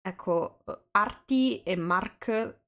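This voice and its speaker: A woman's voice: medium pitch, drawn-out words, fairly bright tone, clear, resonant tone. A woman hosting a casual livestream chat, wandering through her sentences and circling back on phrases, with the odd English phrase slipped in. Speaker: host of a live visual novel reading.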